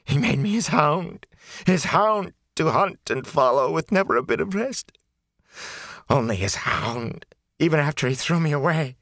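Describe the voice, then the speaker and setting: A man voicing a filthy, cringing male beggar who whines and then turns smooth, unctuous and haughty. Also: on the low side, expressive, measured pace, a bit bright, dry recording, clean studio sound, pitched above the performer's own voice. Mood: whining.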